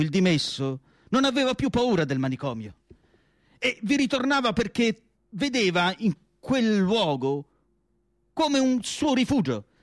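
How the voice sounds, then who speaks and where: Radio drama: melancholy, earnest asylum doctor, slightly high male voice, deliberate weighty delivery, very dry sound. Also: dry recording. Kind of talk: theatrical radio drama monologue.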